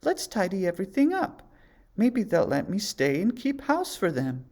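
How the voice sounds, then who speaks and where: A man voicing a sweet, gentle young princess in a high, breathless voice in a storybook reading. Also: huge pitch swings, moderate pace, full character voice. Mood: delighted.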